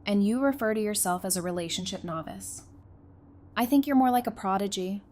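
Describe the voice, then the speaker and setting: A woman's voice: mid-range voice, brisk, a little mellow, natural voice. A woman playing a strong-willed, bitter survivor with a firm, sardonic manner in an audio drama. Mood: teasing affection.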